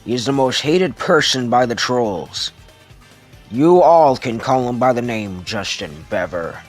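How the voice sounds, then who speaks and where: A man voicing a brutal villain boss in an amateur comic dub, threatening, pompous and deliberate. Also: mid-range voice, lively intonation, faint music behind. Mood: menacing. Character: menacing brutal villain boss interrogating a captive.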